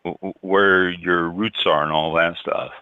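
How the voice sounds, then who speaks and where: A man hosting a radio interview, talking haltingly with sudden upward jumps in pitch. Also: steady tone, smooth, dry recording. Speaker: radio show host. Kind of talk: interview chat. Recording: dull lo-fi dry sound.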